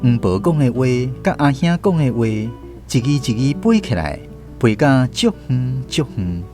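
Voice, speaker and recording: Male radio drama narrator, Taiwanese accent, lively and varied intonation, storybook delivery over soft music. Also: mid-range voice, moderate pace.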